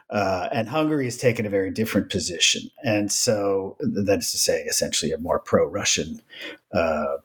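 A man hosting a podcast, summarizing the discussion in a measured way with some fillers. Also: deep voice. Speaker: podcast host.

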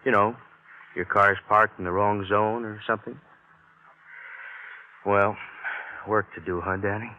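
A man playing a wisecracking American police detective from an old radio crime show. He is low-pitched, casual and wry, heard through narrow, tinny vintage sound. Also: steady tone, measured pace, pauses often, background noise, lightly acted. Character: wry, plain-talking male police detective sidekick. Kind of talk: 1950s radio crime drama acting.

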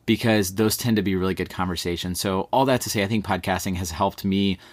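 A man from East Texas with an East Texas accent, talking in a relaxed, rambling way as a podcast host being interviewed. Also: deep voice, a little fast, dry recording. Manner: relaxed, rambling, many fillers like "like". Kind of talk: casual conversational interview.

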